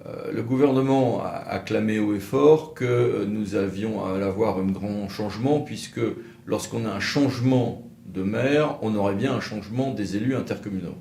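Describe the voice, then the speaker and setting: A man giving explanatory legal commentary as an expert presenter, halting at times, with wry, sceptical asides. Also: on the low side, vocal fry, warm tone. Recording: roomy echo, faint background noise.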